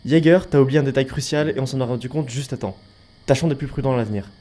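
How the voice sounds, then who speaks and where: A man's voice: medium pitch, fast, dark tone, close to an everyday voice. A man playing a level-headed, loyal leader in a French audio drama, earnest and firm as a peacemaker. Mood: urgent, exasperated. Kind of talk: French audio fiction acting.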